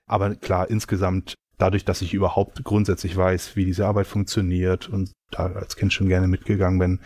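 A man's voice: sits low, flat delivery, normal speed, darkish tone, dry recording, studio quality. A man giving reflective, explanatory answers in a casual conversational interview. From Germany. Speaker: interview guest.

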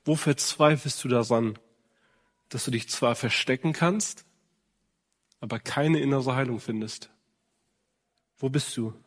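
A man's voice: mid-range voice, a little slow, pauses often. A man preaching a sermon in speech, addressing listeners earnestly and directly. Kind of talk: sermon to men. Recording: long hall reverb.

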